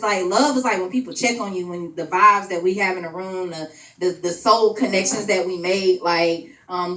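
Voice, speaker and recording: A woman's voice: lowish voice, fairly bright tone. Twenty-something woman doing spoken word at an open mic, joking with the crowd, sounds far off in a roomy echoey space. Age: 20s. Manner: chatty and playful with the audience, laughing between bits. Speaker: spotlight poet at an open mic. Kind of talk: spoken-word poetry and casual stage chat. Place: event venue room.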